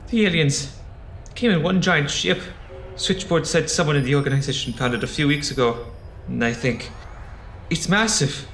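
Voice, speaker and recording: A man acts a nervous accused scientist, his voice a bit high. He stammers and pleads anxiously in a boxy, echoing room with a faint background hiss of ambience. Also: moderate pace, a bit bright. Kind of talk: audio drama acting.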